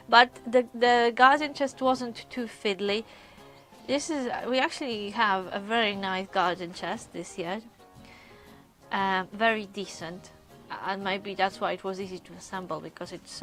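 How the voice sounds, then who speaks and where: A woman with a Polish accent chatting casually on a live stream, often pausing with hesitations as she answers viewers. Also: fairly high pitch, fairly bright tone. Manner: chatty, many hesitations, reads viewer comments aloud. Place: lives in a caravan on a UK campsite.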